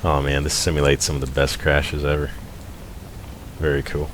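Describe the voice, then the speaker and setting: Low voiced guy doing chill game commentary, loud engine drone all over it. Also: normal speed, background noise. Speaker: gamer playing a flight simulator. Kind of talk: casual gameplay commentary. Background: steady loud vehicle drone from game.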